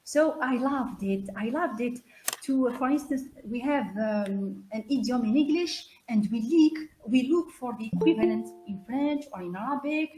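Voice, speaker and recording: A woman speaking as a guest expert in an informal webinar, haltingly and repeating words for emphasis. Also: on the high side, background noise. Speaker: guest expert, English teacher trainer.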